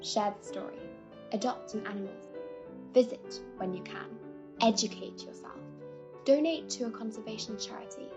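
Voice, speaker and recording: A woman delivers a scripted campaign appeal in a fairly high voice. The recording is dull and narrow, with piano music playing clearly underneath. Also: normal speed. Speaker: campaign announcer. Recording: dull, band-limited sound.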